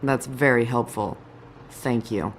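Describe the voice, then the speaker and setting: A woman voicing a stern, composed police detective in a video game, with a firm, measured manner. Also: extremely low pitch, slight background noise, heavily acted. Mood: controlled, stern.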